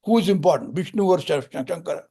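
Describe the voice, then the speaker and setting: Older man giving a calm spiritual talk. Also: on the high side, quick pace, vocal fry, husky. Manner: calm, storytelling explanations with Sanskrit terms. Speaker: spiritual lecturer. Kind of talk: teaching discourse with parables.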